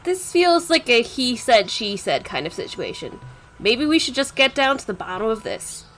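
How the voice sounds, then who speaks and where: A woman's voice: fairly high pitch, big pitch swings, normal speed, a bit bright, slight background noise. A woman playing a puzzled, peacemaking holy magic user with a mild, hesitant manner in a fantasy audio drama.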